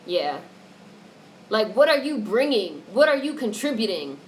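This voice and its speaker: A woman's voice: medium pitch, very animated, a little slow. A woman hosts a casual livestream, talking back to the chat in a mid-range voice. She is blunt and opinionated and swears freely. Some background noise sits behind her voice. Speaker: livestream host reading and answering chat comments.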